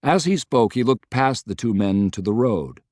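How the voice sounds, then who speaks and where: A man's voice: medium pitch, animated, normal speed, darkish tone, no echo. Man narrating, dry close sound. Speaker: audiobook narrator. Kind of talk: scripted dramatic narration of a historical novel.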